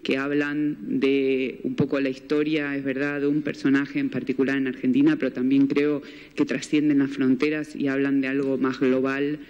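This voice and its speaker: A film producer speaking at a press conference, hesitant and full of restarts. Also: male voice, mid-range voice, level tone, moderate pace, a little mellow. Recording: dull, with long reverb in a hall.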